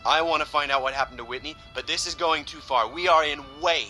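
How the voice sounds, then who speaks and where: A protective young American best friend in an audio drama. His voice is strikingly bright and tense, and he talks in blunt, profane slang. Faint music sits behind him. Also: a little high, fast. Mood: exasperated, heated. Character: protective teenage best friend, exasperated, foul-mouthed, loyal young man. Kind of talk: naturalistic audio drama acting.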